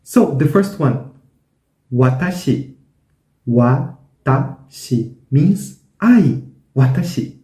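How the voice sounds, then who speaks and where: A man teaching a language lesson, saying each word slowly and repeating it several times. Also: fairly high pitch, dark tone. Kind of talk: slow, repetitive language lesson. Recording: roomy echo.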